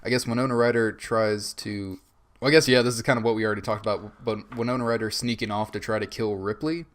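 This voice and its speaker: A man's voice: on the low side, steady tone, fast talker. A man co-hosting a film podcast, chatting casually in an informal, sweary way.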